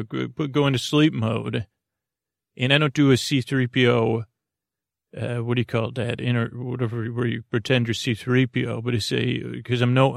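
A man hosting a podcast with a creaky voice, rambling through an improvised monologue, halting and digressing as he trails off mid-thought. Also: mid-range voice, dry recording, studio quality. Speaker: podcast host.

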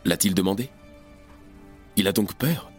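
An actor playing an indignant revolutionary militant in a French radio play, curt and accusing. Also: male voice, on the low side, lively intonation, measured pace, soft background music. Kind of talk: French radio theatre acting.

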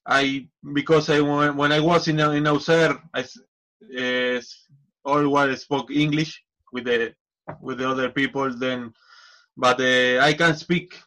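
Slow, halting man with a bright voice. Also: mid-range voice, little pitch movement, low-quality recording. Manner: halting non-native English, many restarts. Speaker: guest, football coach. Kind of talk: casual interview.

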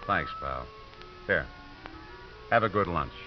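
A man's voice: sits low, animated, loud music behind the voice. An actor playing a cynical, wisecracking American divorced father with a dry, sardonic manner in a 1950s radio drama. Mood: easygoing. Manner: dry, sardonic, hesitant pauses. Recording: muffled 1950s radio recording.

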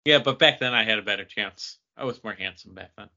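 A man hosting a casual podcast, chatting and bantering in a jokey, animated way, laughing readily. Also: lowish voice, quick pace, dull audio. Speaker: podcast host.

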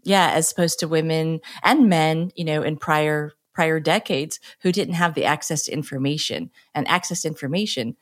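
A woman's voice: low-pitched, moderate pace, few pauses. A woman in her fifties in a casual chat, speaking candidly and jokingly. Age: 50s.

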